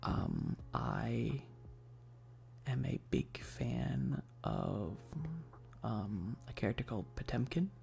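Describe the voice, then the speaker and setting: A man with a heavily fried, dark and mellow voice talks softly, at times close to a whisper. He rambles at an unhurried pace as a streamer chatting about games, hesitant and slangy, with casual swearing and laid-back enthusiasm. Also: on the low side, huge pitch swings, gravelly, soft background music. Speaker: streamer hosting a live stream.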